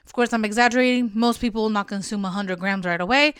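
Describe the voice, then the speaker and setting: A woman's voice: mid-range voice, fast, a bit bright, smooth, no room sound, clean studio sound. A young woman from Portugal hosting a solo podcast, giving friendly, instructive advice and sometimes correcting herself mid-sentence. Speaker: podcast host.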